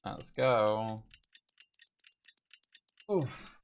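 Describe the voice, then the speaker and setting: Low-pitched man streaming a game. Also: drawn-out words, slight background noise. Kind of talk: casual live gameplay commentary.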